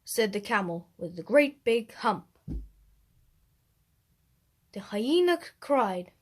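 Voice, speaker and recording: A woman storyteller reading a rhyming children's story aloud, expressive, with deliberate emphasis. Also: mid-range voice, background noise.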